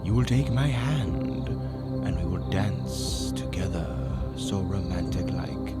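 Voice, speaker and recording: A seductive male demon tempter, acted out by a man for a dark romance roleplay. He speaks slowly in a lowish voice, theatrical and teasing, with menace under the playfulness. Loud music runs behind him. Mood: teasing, romantic. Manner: teasing, drawn-out, theatrical delivery with frequent chuckles. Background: dark ambient music.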